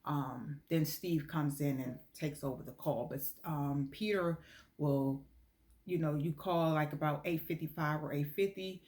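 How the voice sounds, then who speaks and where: A woman's voice: low-pitched, measured pace, a little mellow. American woman giving an informal promotional explanation. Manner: earnest, explanatory, repeats points for emphasis. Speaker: lottery club promoter. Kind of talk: informal promotional video talk.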